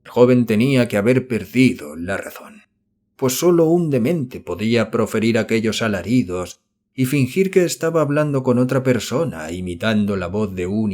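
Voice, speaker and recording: A man's voice: medium pitch, darkish tone. A man reading a horror novel aloud as an audiobook narrator, in scripted literary narration.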